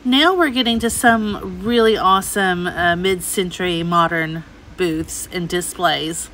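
Middle aged lady doing a chatty shop with me vlog, bright voice, gushy, bit of store noise in the back. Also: fairly low pitch, a little slow, rough recording. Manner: chatty, gushing over items. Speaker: host, antiques reseller. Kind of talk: casual shopping vlog commentary. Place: inside an antique mall.

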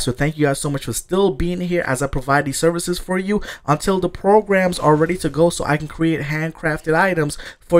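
A man with a slightly raspy voice from a sore throat, giving an upbeat, thankful voice-over for a video game showcase while promoting his loot packages. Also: a little high. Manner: upbeat, thankful, salesy and repetitive. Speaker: gaming YouTuber selling loot packages. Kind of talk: casual gameplay showcase commentary. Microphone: voice-over over gameplay.

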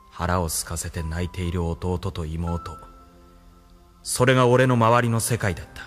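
Music sits behind a low male voice: a man acting a cynical, ambitious military officer who clawed his way up from the slums. He speaks with cool, mocking self-assurance, in polished anime audio drama style. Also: natural voice. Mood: bitter, reflective.

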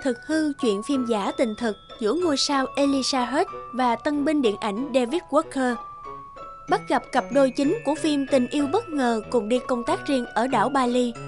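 A woman giving scripted storytelling narration for animated tales. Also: sits high.

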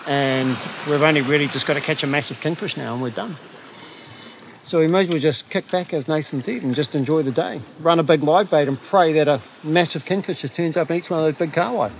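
Easygoing New man, fairly high voice. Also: fairly quick. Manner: easygoing, relaxed. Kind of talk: casual fishing chat. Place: outdoors on rocky sea coast. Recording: wind and waves, noisy outdoor camera mic.

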